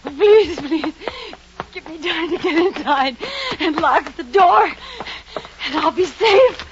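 A woman's voice: extremely high pitch, lively intonation, slow, exaggerated voice. An actress playing a terrified young woman alone at night in 1940s radio drama style, breathless and melodramatic as she talks to herself. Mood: panicked pleading. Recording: noisy, muffled old radio recording.

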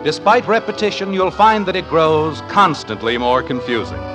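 A man's voice: on the high side, big pitch swings, normal speed. A man announcing and narrating a scripted vintage radio comedy in a polished, wry style. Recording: vintage radio broadcast with background music. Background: music.

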